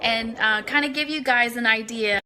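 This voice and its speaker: A woman's voice: on the high side, normal speed, background noise. A woman hosting a live interview, chatting casually and recapping for the viewers. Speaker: host.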